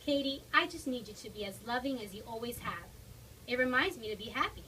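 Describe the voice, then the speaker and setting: A woman voices a troubled teenage girl, gently reassuring a younger child in a storybook drama. The recording is distant, with faint noise around the voice. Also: a little high, animated, brisk. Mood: calm, reassuring. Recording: distant mic, noisy room.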